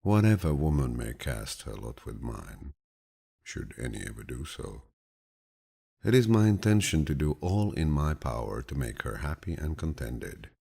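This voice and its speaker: A man reading an audiobook of quotations slowly in a deep, low, husky voice, with a calm, soothing, grandfatherly manner. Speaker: audiobook narrator. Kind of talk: slow scripted audiobook narration of quotations.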